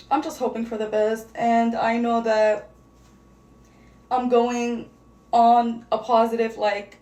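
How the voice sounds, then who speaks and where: Woman vlogger, Arabic first language, talks loosely and keeps reaching for words; fairly high voice in a roomy space. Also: darkish tone. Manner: rambling, searching for words, heavy use of "like". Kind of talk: casual get-ready-with-me chat. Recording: echoey room.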